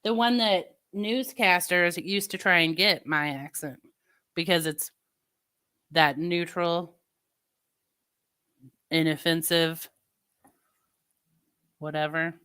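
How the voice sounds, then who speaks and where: Woman, St. Louis accent, streamer in casual chat; slow drawl, bright tense tone. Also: lowish voice, clear, resonant tone. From Midwestern United States. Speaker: streamer chatting with viewers.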